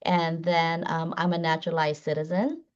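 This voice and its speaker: A woman's voice: low-pitched, level tone, moderate pace, smooth. A woman law professor who grew up in the Northern Mariana Islands, introducing herself earnestly with frequent "um" fillers over a video call. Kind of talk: self-introduction on a panel. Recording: dull, lo-fi call audio.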